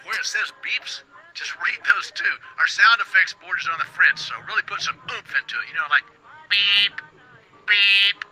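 A man's voice: high voice, moderate pace, sharp tone, faint music behind, full character voice, rough recording. A man playing a wry, griping small-town radio producer in a quirky radio drama game, chatty, sarcastic and teasing. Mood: sarcastic instructing.

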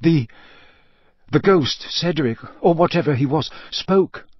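A man reads as a teenage wizard boy in a storybook, earnest and shaken. The voice sits somewhat high, husky and halting. The sound is a little dull. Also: lively intonation, no room sound. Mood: strained, halting. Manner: halting, strained.

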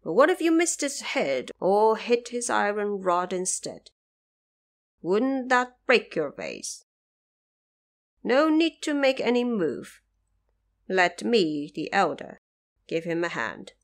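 A woman voicing a confident, boastful old male immortal elder in an audio drama, speaking slowly and deliberately. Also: mid-range voice, big pitch swings. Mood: doubtful, assured.

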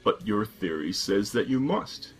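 Death as a patient, ancient figure of the end, read by a male storyteller. The voice sits very low and unhurried, each word placed with weight, faint music behind. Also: expressive, slightly rough. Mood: grave. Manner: slow, grave, measured.

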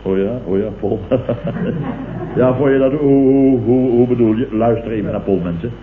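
A man's voice: mid-range voice, moderate pace, a crowd in the background, lightly acted. An actor playing a vain, pompous middle-aged businessman who blusters and repeats himself in an old radio comedy. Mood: flattered, eager. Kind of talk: 1970s Dutch radio comedy acting. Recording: old tinny, noisy, echoey radio recording.

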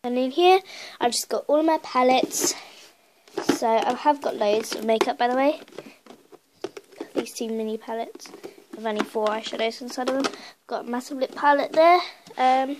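A young woman with a cold and a croaky voice, chatting casually with lots of fillers as she shows things in her bedroom. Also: sits high, normal speed, long pauses, background noise. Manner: chatty, rambling with many fillers. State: hoarse from a cold. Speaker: beauty vlogger. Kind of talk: casual makeup collection tour. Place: bedroom at home, indoors. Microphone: phone camera held in hand.

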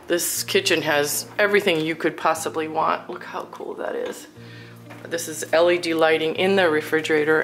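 A woman's voice: deep voice, fairly bright tone. A woman giving a casual, admiring and exclamatory walkthrough of a house as a realtor, recorded indoors on a handheld camera. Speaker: realtor showing a home for sale. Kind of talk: casual walkthrough home tour narration. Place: indoors, inside a furnished house.

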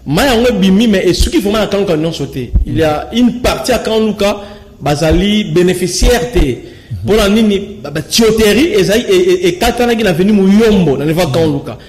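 High-voiced Congolese man, animated studio guest. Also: brisk, slight background noise, rough recording. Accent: Congolese French with Lingala. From Kinshasa, Congo. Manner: gesturing, mixes French and Lingala, many restarts. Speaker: studio guest, local resident. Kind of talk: studio interview, animated talk. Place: TV studio with screen backdrop.

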